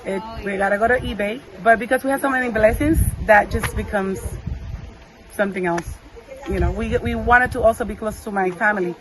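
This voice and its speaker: A woman's voice: mid-range voice, brisk, background noise. A woman from Puerto Rico, answering interview questions cheerfully.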